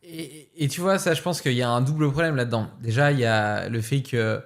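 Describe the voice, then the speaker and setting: A man hosts a casual podcast conversation. His voice sits at an ordinary middle pitch. He talks reflectively and hesitates often as he thinks aloud. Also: fairly quick. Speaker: podcast host.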